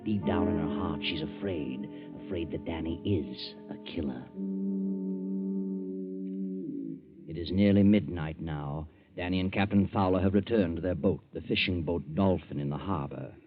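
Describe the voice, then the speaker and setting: An actor playing a sinister, mocking, all-knowing narrator, ominous and dramatic in 1940s radio style. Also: male voice, low voice, normal speed, hardly any pauses, natural voice. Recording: muffled 1940s radio recording. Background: loud music.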